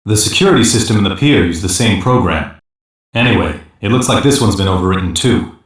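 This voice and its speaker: A man's voice: lowish voice, animated, a little fast, heavy reverb, recorded from a distance, close to an everyday voice, rough recording. A man playing an earnest ex-detective in an elite police unit in an anime dub, reporting in a matter-of-fact, procedural way. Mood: businesslike. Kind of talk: English-dub anime voice lines.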